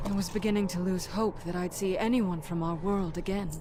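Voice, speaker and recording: Woman acting game mage, female, slightly low pitch, world-weary, solemn, formal diction, very noisy ambience. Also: steady tone, fairly quick, close to an everyday voice. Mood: weary relief. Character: weary, embattled female mage, grim and resolute. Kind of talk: dramatic video game dialogue. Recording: loud game ambience behind the voice.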